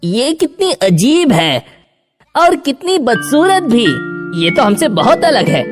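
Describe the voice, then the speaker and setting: A woman's voice: high-pitched, sing-song, slow, in a large hall, music in the background, full character voice. A woman voicing a snobbish, scornful beetle in a children's cartoon fairy tale, sneering and judgmental. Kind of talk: children's animated story dub.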